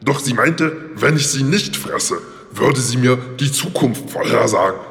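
A gravelly, creaky talking lion acted by a man speaks in a big reverberant hall. The creature is simple, grumpy and always hungry, pleading and stumbling over repeated words in a fairy-tale radio play for children. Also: medium pitch, expressive, unhurried, a bit bright, faint music behind. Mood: storytelling. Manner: hesitant, repeats words, plaintive.

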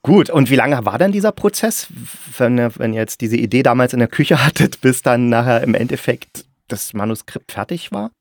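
A man's voice: medium pitch, expressive, moderate pace, few pauses, no room sound, studio quality. A man hosting a casual interview, asking questions in an informal, conversational way. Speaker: interviewer, podcast host.

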